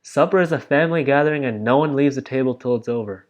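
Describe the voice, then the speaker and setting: A man voicing a sharp-tempered, domineering father in a storybook, snapping and menacing. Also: mid-range voice, fast, highs cut off, natural voice. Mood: snappish. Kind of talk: storybook read aloud.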